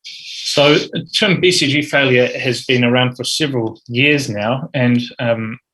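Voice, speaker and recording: Kiwi guy giving a medical lecture, slightly husky, pauses and ums a lot. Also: mid-range voice, a bit bright, poor audio. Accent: New Zealand. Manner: measured, frequent ums and uhs. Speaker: urology fellow giving grand rounds talk. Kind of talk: academic medical presentation.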